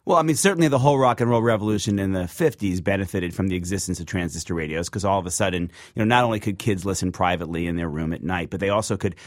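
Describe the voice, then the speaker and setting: A man's voice: sits low, rapid-fire, few pauses, dry recording, studio quality. A man with an American accent, an interview guest explaining things in a conversational, storytelling way. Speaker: interview guest, music record label founder.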